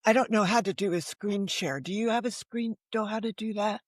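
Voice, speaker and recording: A woman's voice: medium pitch, fairly quick, no room sound, studio quality. An older woman from the United States telling personal stories in conversation, candid and self-deprecating, with rhetorical asides. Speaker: novelist, interview guest. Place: remote video call.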